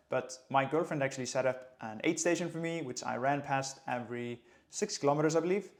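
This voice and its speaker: A man in his late twenties from Iceland, a running coach speaking English with an Icelandic accent in a relaxed, conversational vlog. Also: mid-range voice. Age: late 20s. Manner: relaxed, conversational, light laughs. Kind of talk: casual vlog talk to camera.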